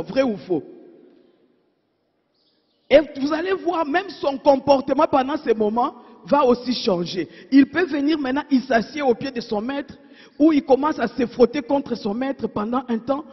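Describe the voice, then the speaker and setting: A woman preacher delivering a sermon, asking her congregation rhetorical questions and swinging between emphatic and hushed delivery. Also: high voice. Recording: dull sound in a reverberant church hall.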